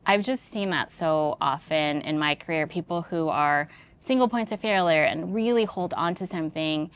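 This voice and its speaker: A woman's voice: low-pitched, normal speed, smooth. A woman speaking as an executive guest in a podcast interview, thoughtful and informal, with conversational filler phrases like "you know".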